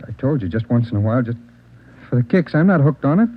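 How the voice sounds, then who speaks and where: Actor as husky, stammering, jittery addict. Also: male voice, medium pitch, expressive, fast, natural voice. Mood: evasive, defensive. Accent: American. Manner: stammering, repeats questions, pleading. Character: evasive, jittery male drug addict under questioning. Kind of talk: mid-century radio drama acting. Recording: muffled old radio recording.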